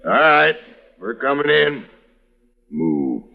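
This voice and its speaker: A man's voice: medium pitch, big pitch swings, takes it slowly, creaky, exaggerated voice. An actor playing a cold, threatening gunman, on a dull old radio recording. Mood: menacing. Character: menacing male gunman.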